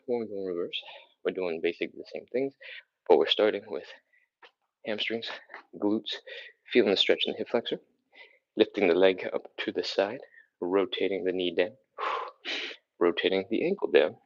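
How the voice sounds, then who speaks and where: A very low-voiced man with a cold leads a home stretching session, counting reps in short cues between breathy sighs. The sound is dull and lo-fi, like a webcam mic across the room. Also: normal speed, long pauses. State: sniffly from a cold. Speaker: stretching instructor. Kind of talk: live-streamed workout coaching. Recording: slightly dull, lo-fi stream audio.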